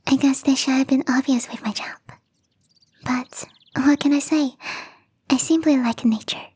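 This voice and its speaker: A young catgirl gardener played by a woman murmurs in a high, soft and breathy voice with a mellow, rounded tone. She is gentle and earnest, with a teasing streak, and confides in the listener as if up close. The delivery is intimate ASMR roleplay acting, whispery and tender. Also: fairly even intonation, moderate pace, close to an everyday voice. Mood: shy, confiding.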